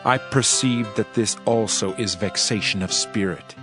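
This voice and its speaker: An actor playing a world-weary preacher king in a dramatized scripture reading, solemn, measured and oratorical. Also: on the low side, close to an everyday voice. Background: background music.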